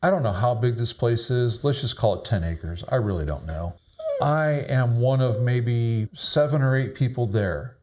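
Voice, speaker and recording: A man's voice: medium pitch, expressive, normal speed, background noise. A middle-aged man casually talking through his photos, modest and rambling, laughing often. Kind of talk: casual chat.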